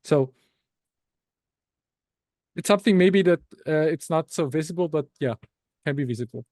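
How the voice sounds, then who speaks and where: Man from austria, tagalog first language, kinda high voice, lots of ums and restarts, dry close sound. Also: moderate pace, a little mellow, dry recording. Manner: hesitant, many fillers and self-corrections. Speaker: researcher and author, interview guest. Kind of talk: thoughtful interview answers.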